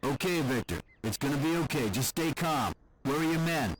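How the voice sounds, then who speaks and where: A man's voice: animated, normal speed, natural voice. Guy doing a chill lookout soldier, reassuring, faint birds and outdoor noise. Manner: low, reassuring. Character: calm male resistance fighter on lookout.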